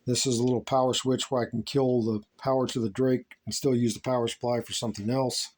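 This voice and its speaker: A man's voice: mid-range voice, little pitch movement, quick pace, darkish tone, slight background noise. A plain-spoken man from the United States giving a casual, unscripted hobbyist tour in a folksy, rambling way. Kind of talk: casual unscripted vlog narration.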